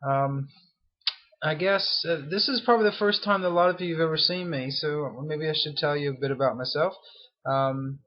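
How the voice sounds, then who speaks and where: A man's voice: fairly high pitch, moderate pace, lo-fi sound. A man in his thirties with a mixed American and Australian accent, chatting casually to his email subscribers in a video blog, rambling and apologetic with many fillers and restarts. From California, United States. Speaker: internet marketer vlogging to subscribers.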